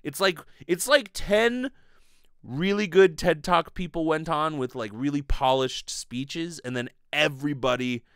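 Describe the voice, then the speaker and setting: A man in his thirties giving a casual comic monologue as a podcast host, animated, rambling and sweary, close on a desk mic. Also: on the high side, a little slow, smooth, no echo. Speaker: podcast host, improv performer. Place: small bedroom, indoors. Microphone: desk condenser mic with pop filter, close.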